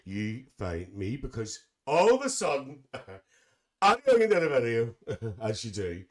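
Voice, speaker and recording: A teasing, chatty auntie with a Northern Irish accent , acted out by a man. The pitch sits at an ordinary level for a male voice. The host talks in a comedic interview style, repeating phrases and addressing people as dear. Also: wildly swooping pitch, a little slow. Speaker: podcast host.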